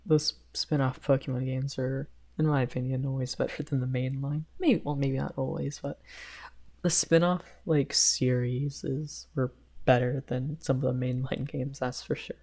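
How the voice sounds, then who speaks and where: A woman's voice: a bass voice, mellow, exaggerated voice. A woman playing a shy young male best friend in an ASMR roleplay, rambling hesitantly with stammers and nervous giggles.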